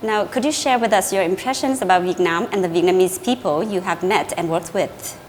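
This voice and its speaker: A woman interviewer poses prepared questions in a formal, measured way at an ordinary pitch. The recording has a roomy echo and a faint background hiss. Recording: echoey room with faint noise.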